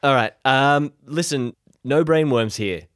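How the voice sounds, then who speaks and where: A man's voice: mid-range voice, takes it slowly, fairly bright tone. A man playing in an improvised audio drama, chatting casually and thinking aloud as he role-plays.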